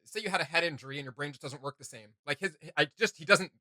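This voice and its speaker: Bright-voiced man, enthusiastic and rambling. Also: fairly high pitch, fast talker, dry recording, studio quality. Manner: enthusiastic, rambling, thinking aloud. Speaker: reaction channel host. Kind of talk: casual reaction chat.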